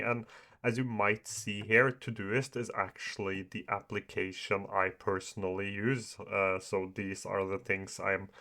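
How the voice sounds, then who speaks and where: Young guy, norwegian or farsi first language, lowish voice, lots of uh and like, casual app walkthrough. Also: bright, forceful tone. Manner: many fillers, "uh" and "like", hesitant. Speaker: YouTuber reviewing apps. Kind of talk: casual screen-share walkthrough.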